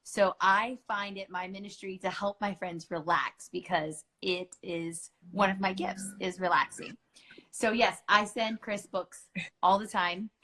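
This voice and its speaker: A woman's voice: medium pitch, fairly even intonation, measured pace, sharp tone. A middle-aged woman hosting a book club, talking in a chatty, playful way with lots of fillers. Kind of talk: casual chat.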